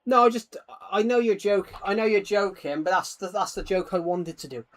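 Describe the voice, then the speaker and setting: A man's voice: high-pitched, moderate pace. A man chatting casually on a gaming livestream, rambling and self-deprecating, often stammering and repeating his words. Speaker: live streamer. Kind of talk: casual livestream chat while gaming, reading out viewer comments.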